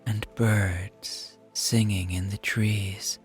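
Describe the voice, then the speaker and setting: A man guides a relaxation exercise in a low, hushed voice. His delivery is extremely slow and very even, gentle and soothing throughout. Soft music and rain sit behind the narration. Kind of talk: scripted guided meditation narration.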